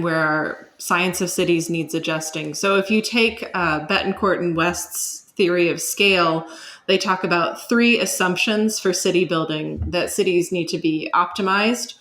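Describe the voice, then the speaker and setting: A woman speaking as an academic guest, giving explanatory interview answers in measured, searching phrases with asides. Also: fairly low pitch, fairly bright tone.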